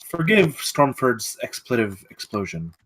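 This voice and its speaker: A man's voice: medium pitch, very animated, a little slow, a bit bright. A man acting an earnest, businesslike military guide in a script table read.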